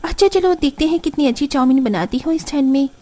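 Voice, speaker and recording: Cartoon young female in-law woman performer, very high mellow voice, chatty, frank and teasing. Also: quick pace, natural voice. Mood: sarcastic. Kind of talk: Hindi family cartoon acting.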